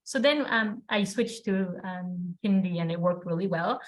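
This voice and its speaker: A woman's voice: lowish voice, unhurried. A woman with Spanish-accented American English, a native Spanish speaker, telling an easygoing story as a panelist on a video call. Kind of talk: conversational anecdote in an online panel.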